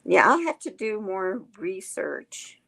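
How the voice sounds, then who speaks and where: A woman chats with her viewers in a slow, unhurried way. Her voice has a gravelly, creaky edge, and it is turning hoarse. Her manner is folksy and friendly. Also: mid-range voice, big pitch swings, bright, forceful tone. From Texas. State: getting hoarse. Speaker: livestream host. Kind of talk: casual chat reading viewer comments.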